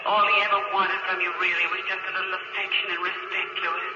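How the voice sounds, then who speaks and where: Woman acting gentle, pleading wife, echoey old radio. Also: mid-range voice, flat delivery, fast, natural voice. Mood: earnest, pleading. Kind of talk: 1950s radio drama acting. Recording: echoey muffled vintage radio with music behind.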